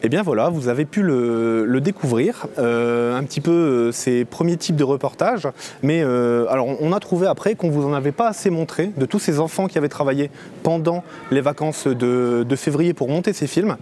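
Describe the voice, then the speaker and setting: A man's voice: a little high, moderate pace, mellow. A man presenting a local programme as host and reporter in an unscripted, somewhat hesitant way. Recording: crowd chatter and background noise.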